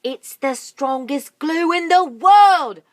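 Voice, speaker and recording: A woman voicing an excitable, bossy male monkey father who shouts urgent orders in a shrill voice. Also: slow pace, dry recording, studio quality, heavily acted. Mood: gleeful.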